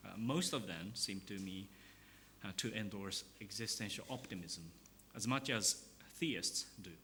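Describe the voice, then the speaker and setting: A man, a philosophy professor, giving an academic lecture in a measured, explanatory way with pauses and fillers. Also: fairly low pitch, pushed and bright, slight background noise.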